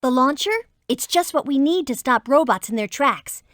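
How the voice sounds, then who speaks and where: A woman's voice: sits high, lively intonation, normal speed, fairly bright tone, dry recording, studio quality. A woman voicing a decisive, wisecracking young adventurer who leads a team in a children's computer game. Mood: confident, wry. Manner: decisive, slangy.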